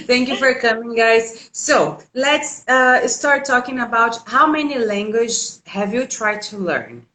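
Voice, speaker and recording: A Brazilian woman hosts a relaxed chat, speaking slowly in accented English. Her voice is bright, and she laughs often between halting fillers. The sound carries an echoey room. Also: fairly high pitch. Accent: Brazilian Portuguese. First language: Portuguese. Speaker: host, English teacher. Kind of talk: casual interview.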